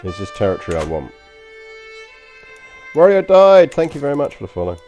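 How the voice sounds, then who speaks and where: A man's voice: mid-range voice, huge pitch swings, a little fast, a little mellow, highs cut off, faint music behind. A man with a London accent chatting casually while streaming a strategy game, rambling with frequent ums and uhs. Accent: London English. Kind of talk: casual gameplay commentary to stream chat.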